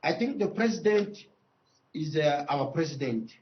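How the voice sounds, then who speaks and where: A man with a Congolese accent speaking as a traditional leader in a news interview, emphatic, with repeated phrases and halting phrasing. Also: a little high, expressive, muffled recording.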